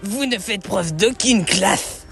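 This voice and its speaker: A woman's voice: mid-range voice, slow pace, faint music behind, pitched above the performer's own voice. A woman voicing a pompous, theatrical male snake demon villain with grandiose, old-fashioned formal speech in a fan dub.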